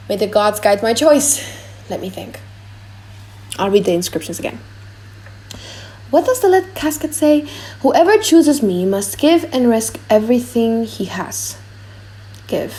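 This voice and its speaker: Woman acting a proud male prince, pondering, deliberate, high voice, audiobook drama. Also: dark tone, rough recording.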